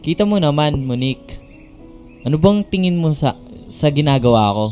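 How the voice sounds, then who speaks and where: Man acting a cheeky flirt, slightly high voice. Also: expressive, moderate pace, a little mellow, soft background music, lightly acted. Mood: playful. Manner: teasing, cocky, playful. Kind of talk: Filipino radio drama acting.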